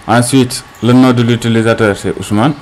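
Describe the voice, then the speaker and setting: A man teaching an unscripted tech tutorial step by step, haltingly, often asking questions and answering them himself. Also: medium pitch, steady tone, slight background noise.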